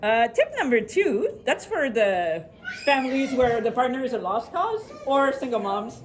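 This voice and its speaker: A woman with a French accent gives an informal live workshop as presenter, talking quickly and chattily with self-deprecating humor. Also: a little high, big pitch swings, slight background noise.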